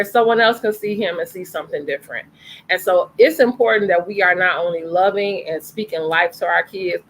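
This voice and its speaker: A woman's voice: lowish voice, a bit bright, poor audio. A woman hosting a family story time over a video call from home, speaking in an earnest, encouraging way. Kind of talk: casual chat and introduction.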